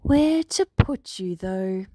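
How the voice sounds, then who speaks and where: A woman's voice: mid-range voice, animated, slow pace, mellow, no room sound. A woman voicing a cheerful, curious male animal school principal, genial and musing, in a story reading.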